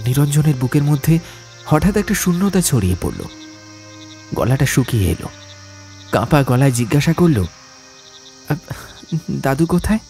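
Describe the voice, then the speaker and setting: Expressive male horror story narrator. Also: fairly high pitch, normal speed, long pauses, faint music behind. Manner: suspenseful, expressive. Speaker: audiobook narrator.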